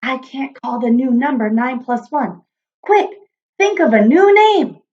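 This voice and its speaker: A woman's voice: high voice, very animated, unhurried, a little mellow, muffled recording. A woman voicing a jovial, easily flabbergasted king in a children's storybook, full of exclamations and proclamations. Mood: pleased, proclaiming.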